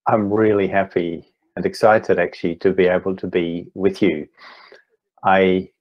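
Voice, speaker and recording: A man in his fifties with a New Zealand accent, giving an online talk as a meditation teacher, speaking slowly and deliberately with gentle pauses. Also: sits low, little pitch movement, muffled recording. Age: 50s. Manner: slow, deliberate, gently pausing. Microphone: video call.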